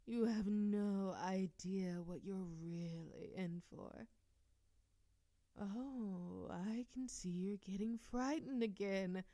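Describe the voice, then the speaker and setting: Woman playing seductive succubus, mellow tone, teasing drawl, playful immortal demon princess, close dry audio roleplay. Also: mid-range voice, no room sound. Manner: teasing, giggly, sultry drawl. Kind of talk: scripted audio roleplay acting.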